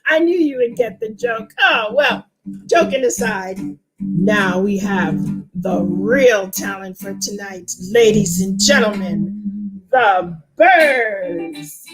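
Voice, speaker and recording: A woman's voice: mid-range voice, animated, slow pace, soft background music, voice pushed down, rough recording. A woman voicing a sly male fox master of ceremonies with showy announcer patter in a children's story. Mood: slick, jovial.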